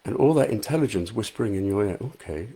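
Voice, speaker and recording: A man's voice: on the low side, animated, fast talker, mellow, slightly rough. Soothing-voiced man chatting live. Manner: chatty, self-deprecating, reads out viewer comments. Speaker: author reading and answering live comments. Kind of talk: casual live-stream chat. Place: small untreated room at home. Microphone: high quality microphone.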